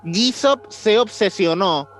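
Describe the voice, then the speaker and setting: A man's voice: high voice, animated, slow pace, a bit bright, soft background music. A young man with a Mexican accent co-hosting a podcast, telling a mystery story in casual, slang-filled chat. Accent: Mexican Spanish. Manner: slangy, says "güey" often, acts out voices in quotes. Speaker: podcast co-host.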